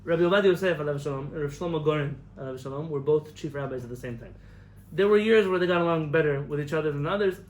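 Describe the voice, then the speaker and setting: A young man from the United States giving a lively lecture as a rabbi, telling stories, acting out quotes and laughing at his own jokes. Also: fairly high pitch, fast, few pauses. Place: lecture hall in front of an audience. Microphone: camera recording of a live talk.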